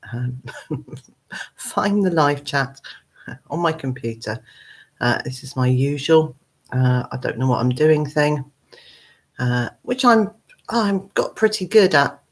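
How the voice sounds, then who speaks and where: A woman with a hoarse, croaky voice, hosting a casual live chat from her computer at home, rambling with many ums and uhs. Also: deep voice. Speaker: gardening channel host.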